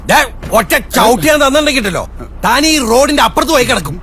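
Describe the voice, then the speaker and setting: An actor playing a menacing bully in a comedy clip, talking in threats. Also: male voice, very high voice, animated, quick pace, background noise. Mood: threatening.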